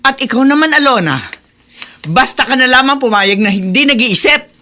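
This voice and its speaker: A woman's voice: high voice, animated, normal speed, bright, forceful tone. An actress playing a hot-tempered, middle-aged mother, berating sharply in a radio drama. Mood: scolding.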